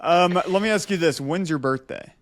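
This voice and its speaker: A man's voice: on the high side, normal speed, no echo, studio quality. A man co-hosting a podcast with informal, slangy, joking banter. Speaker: podcast co-host.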